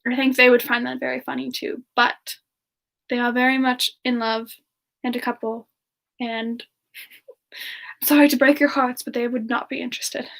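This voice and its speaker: A woman playing a playful, affectionate and coy female demon siren, speaking softly and hesitantly in a semi-ASMR question-and-answer style. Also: high-pitched, little pitch movement, normal speed, gravelly, long pauses. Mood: warm, amused. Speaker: host answering audience questions in character.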